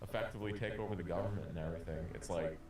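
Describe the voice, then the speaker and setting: A man co-hosting a casual podcast, talking in halting bursts with many restarts and "you know"s. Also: low-pitched, darkish tone, far from the mic. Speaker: video creator and podcast co-host. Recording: echoey room with faint noise.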